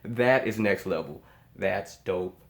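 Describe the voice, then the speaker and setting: An American man giving casual, off-the-cuff reaction commentary as a channel host, emphatic and repeating himself, often halting as he searches for words. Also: deep voice, vocal fry. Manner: emphatic, repeats phrases in amazement. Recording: echoey, roomy sound.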